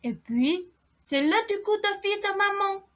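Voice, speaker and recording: A woman's voice: extremely high pitch, animated, a little slow. A woman playing a shy, anxious young boy prince in a radio play, speaking hesitantly. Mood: timid, hopeful. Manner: hesitant, timid.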